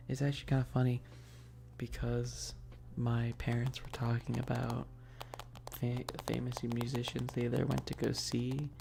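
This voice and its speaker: A livestream host chatting casually and informally in a soft, close whispery ASMR delivery into a binaural mic, rambling with teasing asides to chat. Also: mid-range voice, gravelly, background noise. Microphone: close binaural microphone. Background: tapping and keyboard clicking.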